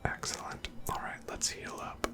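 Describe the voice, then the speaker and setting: Breathy whisper, ASMR gameplay commentary, gentle, casual play-by-play, fully unvoiced. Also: normal speed. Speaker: host of a gameplay series.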